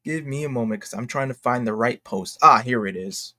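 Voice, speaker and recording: A man's voice: mid-range voice, sharp tone. A man with a mid-range voice delivers off-the-cuff online commentary. He rambles and halts, breaking into his own sentences to start again. His wording is slangy and exclamatory, with emphatic stresses. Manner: rambling, halting, self-interrupting. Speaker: YouTube commentator. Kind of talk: unscripted casual commentary rant.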